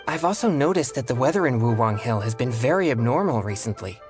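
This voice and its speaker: An actor playing a polite, solemn teenage boy exorcist in a video game, speaking in a measured, formal way with a self-doubting streak. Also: medium pitch, expressive, close to an everyday voice. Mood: earnest. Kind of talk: video game character dialogue. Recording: game music behind the voice.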